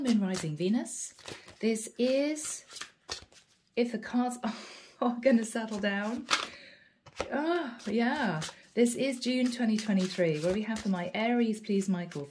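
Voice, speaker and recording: A woman's voice: a little high, slight background noise. Stuffy-voiced woman giving a tarot reading. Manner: musing, soft, thinks aloud with hmms. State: head cold, stuffy voice. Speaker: tarot reader. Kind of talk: tarot card reading to camera.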